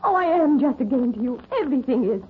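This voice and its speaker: A woman's voice: sits high, animated, quick pace. Tinny, anxious lovestruck woman. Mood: frightened. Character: nervous, lovestruck young Swedish-American woman. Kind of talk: 1930s radio acting. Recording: tinny, hissy 1930s radio recording.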